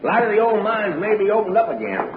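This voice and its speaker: A folksy, grumbling mine hand. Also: male voice, high voice, big pitch swings, fast. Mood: grumbling, explaining. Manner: rustic, drawling dialect with dropped grammar. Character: folksy old mine hand, cautious, grumbling, gruffly good-natured. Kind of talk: 1960s radio drama acting. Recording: noisy, tinny old radio broadcast.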